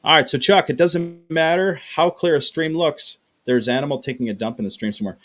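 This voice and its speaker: A man's voice: a little high, fairly quick, fairly bright tone. Chatty man hosting a livestream. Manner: chatty, repeats words, acts out quoted voices. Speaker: livestream host reading chat. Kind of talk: casual livestream chat.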